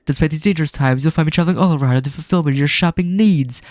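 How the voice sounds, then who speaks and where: A man's voice: very fast, darkish tone, no echo, natural voice. A man doing a chipper traveling merchant. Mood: cheerful. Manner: chipper sales pitch. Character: friendly male traveling bug merchant.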